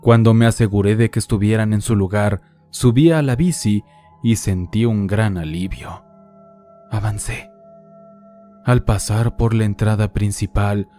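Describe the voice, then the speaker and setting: A man calmly narrating horror stories sent in by listeners, in a measured, somber reading. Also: fairly low pitch. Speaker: horror story channel narrator. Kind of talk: scripted horror narration read from listener letters. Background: faint music.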